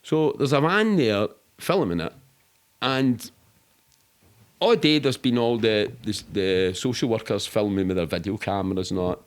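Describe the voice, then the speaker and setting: A man's voice: fairly low pitch, normal speed. A middle-aged Scottish man telling anecdotes casually in a colloquial, rambling, sweary way, as an interview guest.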